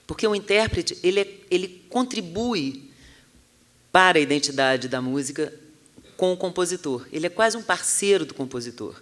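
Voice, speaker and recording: A man's voice: high voice, a bit bright. A Brazilian man explaining analytically as an expert in an interview. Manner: analytical, didactic.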